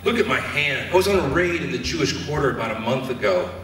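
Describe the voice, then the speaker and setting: Male actor as haunted Roman guard, church drama, forceful and earnest, roomy sound with faint music. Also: mid-range voice, expressive, quick pace, lightly acted. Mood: amazed, intense. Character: shaken, remorseful Roman guard officer. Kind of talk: church stage Easter drama monologue. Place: church hall stage performance. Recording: echoey hall, distant mic, faint music.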